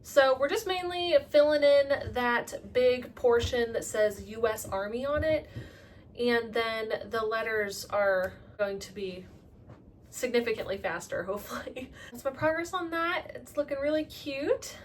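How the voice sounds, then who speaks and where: A woman in her late twenties chatting casually about her crafting projects in a relaxed monthly update, with the odd self-deprecating joke. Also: a little high, measured pace, distant mic, slight background noise. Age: late 20s. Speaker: crafting vlogger.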